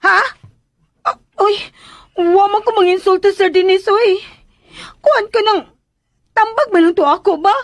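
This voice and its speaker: An actress playing a cheeky, pleading young caretaker in a radio drama, blurting things out in hesitant, chatty back-talk. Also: female voice, extremely high pitch, unhurried, long pauses, dry recording. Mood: flustered defensive.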